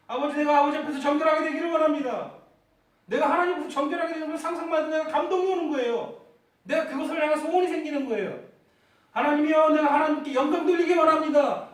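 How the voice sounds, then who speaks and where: A man preaching a sermon as pastor, asking the congregation questions and rising to fervent, shouted prayers. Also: very high voice. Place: church sanctuary. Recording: echoey church hall heard from far off.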